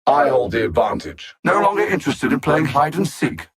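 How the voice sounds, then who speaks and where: A man playing a cold, superior alien machine conqueror in a video game, articulate and haughty, savoring his menacing taunts in a gravelly, husky voice. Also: on the high side, big pitch swings, normal speed. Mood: smug menace. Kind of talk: theatrical video game villain acting.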